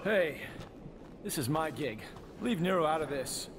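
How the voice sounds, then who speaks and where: A cocky, middle-aged action hero, gruff and casual in a video game. His fairly high male voice swaggers wryly over clear background ambience. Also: expressive, a little slow, noisy background. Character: cocky, laid-back middle-aged demon hunter, wry hero.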